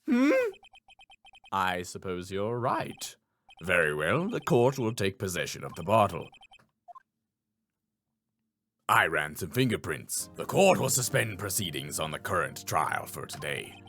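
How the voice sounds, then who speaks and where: A man voicing a stern, formal old trial judge from a video game, slow-witted and ponderous. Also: deep voice, sing-song, a bit bright, faint music behind, pitched below the performer's own voice, full character voice. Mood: solemn.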